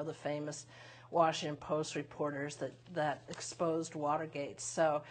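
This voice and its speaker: Low-voiced woman telling her story. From United States (Massachusetts). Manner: unhurried, reflective storytelling with frequent uh pauses. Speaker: guest, lawyer and author. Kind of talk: conversational interview. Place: TV studio.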